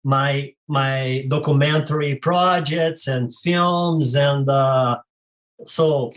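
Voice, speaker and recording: A man in his forties from Brazil with a Brazilian Portuguese accent, a documentary filmmaker talking as a guest in an unhurried, halting way full of fillers and restarts. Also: medium pitch. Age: 40s. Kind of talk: casual interview talk.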